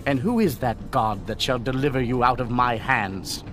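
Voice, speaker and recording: A man's voice: medium pitch, normal speed, close to an everyday voice. A man playing a proud, commanding ancient king in a dramatized scripture reading, declaiming in stately archaic English. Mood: stern, threatening. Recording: music bed under the voice.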